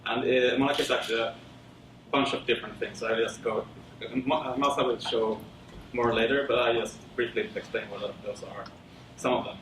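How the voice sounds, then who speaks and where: A man from Sweden presenting casually at a tech meetup, with many fillers and a relaxed, rambling delivery. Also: mid-range voice, moderate pace. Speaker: conference speaker. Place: lecture room. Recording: roomy, distant with audible background noise.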